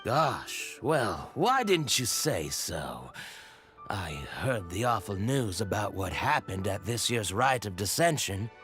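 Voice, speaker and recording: Humble, slow-spoken game merchant. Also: male voice, on the low side, sing-song, a bit bright, faint music behind, full character voice. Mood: worried, generous. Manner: earnest, deferential. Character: humble, devout flower merchant, earnest and polite. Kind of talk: video game voice acting.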